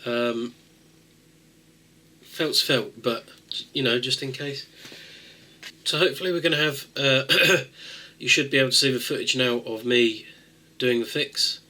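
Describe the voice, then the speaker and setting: A man's voice: medium pitch, unhurried, sharp tone. A man with a cold and a nasal voice, talking viewers through a tech fix in a rambling, casual way with lots of fillers. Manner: rambling with many fillers and restarts. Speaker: tech video host. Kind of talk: casual how-to talk-through.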